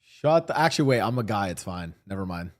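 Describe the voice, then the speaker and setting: A man's voice: on the low side, very animated, a little fast, a little mellow. A man live-streaming, chatting casually to his viewers and reacting to a clip, rambling and swearing freely. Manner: swearing, rambling, talks to chat.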